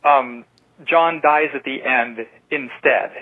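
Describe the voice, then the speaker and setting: A man's voice: medium pitch, slow pace. A man on a tinny phone line giving a casual movie review as a caller, rambling wryly with tentative asides. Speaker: caller leaving listener feedback.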